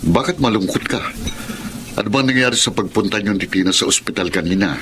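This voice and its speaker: A man's voice: lowish voice, a little fast, a bit bright. An actor playing a humble, hardworking jeepney driver and father in a Filipino radio drama, earnest and polite with a hesitant stammer. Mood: concerned. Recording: noisy radio drama recording.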